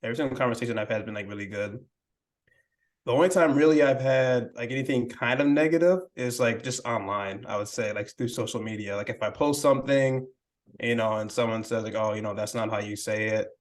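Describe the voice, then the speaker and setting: A young man talking casually as a podcast guest, softly and hesitantly, with many fillers and restarts. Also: mid-range voice, fairly even intonation, brisk. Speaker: podcast guest, language learning creator. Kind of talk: casual interview conversation.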